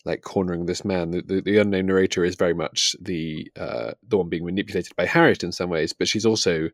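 A man's voice: deep voice, steady tone, fairly quick, no echo, studio quality. A man co-hosting a casual book discussion, thinking aloud hesitantly and often rephrasing himself. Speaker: book podcast host.

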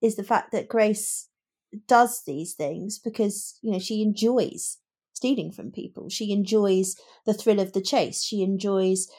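A woman's voice: mid-range voice, a little slow, warm tone, breathy edge. A woman co-hosting a podcast in a casual book discussion, talking in an emphatic, opinionated and articulate way.

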